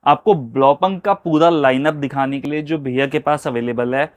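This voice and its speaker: A man's voice: mid-range voice, moderate pace, no room sound. A man presenting a product review show in a conversational style. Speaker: video show host interviewing a dealer.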